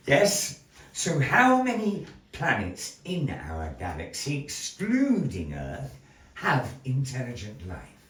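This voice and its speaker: Male stage host, playful showman patter, animated pitch, roomy distant sound. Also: slow pace. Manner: showy, grandly polite, playful. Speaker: puppet show host. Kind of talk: theatrical stage patter with a puppet fortune-teller. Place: gallery room before a live audience. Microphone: distant room mic. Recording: echoey room heard from afar.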